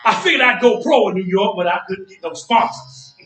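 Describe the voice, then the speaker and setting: Echoey, distant stage recording of a man playing an older American barber with a very high, bright voice. He is a folksy, boastful old boxer who teases and repeats himself for emphasis in a stage play. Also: lively intonation, brisk. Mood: reminiscing. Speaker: stage actor. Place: indoor theatre stage, picked up from afar.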